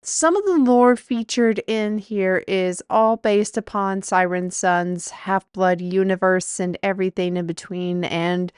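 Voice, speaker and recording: A woman's voice: medium pitch, a little slow, few pauses, darkish tone, clear, resonant tone, no echo, clean studio sound. A woman hosting her own audio show, speaking in a rambling, informal way. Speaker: creator and host. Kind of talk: informal episode introduction.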